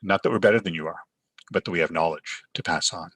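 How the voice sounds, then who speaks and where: A middle-aged man from Pakistan who grew up in Canada, with Urdu as his first language, giving a Friday sermon in a measured way, often pausing on "uh". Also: sits low, a bit bright, husky. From Pakistan, raised in Canada. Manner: measured, with frequent filler uhs and restarts. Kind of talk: sermon with Quran recitation.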